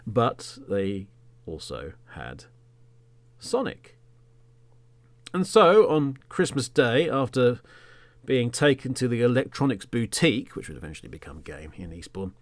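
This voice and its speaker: An Englishman in his thirties, a podcast host telling a story in the third person as a monologue, theatrically, with emphatic words and phrases that trail off into a hush. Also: medium pitch, very animated, slow pace, long pauses, dry recording.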